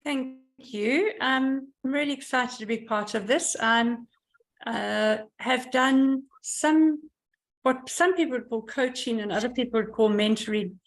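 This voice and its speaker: A woman's voice: fairly high pitch, unhurried. A woman introducing herself on a video call, eager and stopping to find her words. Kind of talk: online meeting self-introduction.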